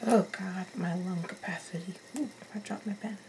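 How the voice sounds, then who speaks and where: A raspy-voiced young woman chatting casually to camera from her bedroom, reacting to a TV show and swearing under her breath at small mishaps. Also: on the low side, steady tone, moderate pace, noisy background. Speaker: TV reaction vlogger. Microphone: laptop mic.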